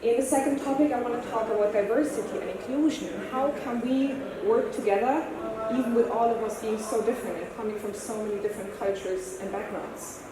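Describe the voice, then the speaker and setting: German lady coach speaking at a conference, kinda high voice, big echo, mic far off. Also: fairly even intonation, fairly quick, background noise. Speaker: horse-assisted coach giving a conference talk. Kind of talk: conference presentation. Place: large conference hall. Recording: echoey hall, distant mic.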